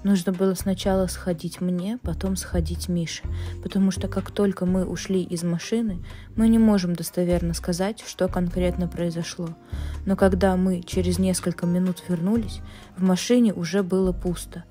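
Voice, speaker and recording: A woman reads a scripted voice-over with a warm, mellow tone. She tells the story in a measured, dramatic way, with soft music behind her. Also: lowish voice, steady tone. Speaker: vlogger narrating.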